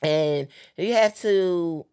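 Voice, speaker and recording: A woman's voice: on the low side, very slow, a little mellow, clear, resonant tone, dry recording, studio quality. A young woman hosting a podcast, talking earnestly about faith and dreams and repeating phrases for emphasis. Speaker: podcast host. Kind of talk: casual faith-based chat.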